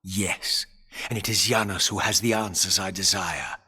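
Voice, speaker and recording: An actor playing an embittered undead wraith in a video game, defiant and sardonic, with biting formal diction. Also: male voice, sits low, moderate pace, sharp tone, husky, full character voice. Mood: bitter, accusing. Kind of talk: dramatic video game cutscene acting.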